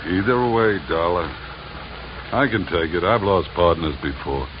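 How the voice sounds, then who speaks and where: An actor playing a gruff, world-weary oil field operator in a 1950s radio drama, terse and halting. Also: male voice, low voice, animated. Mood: curt, weary. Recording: muffled vintage radio, noisy background.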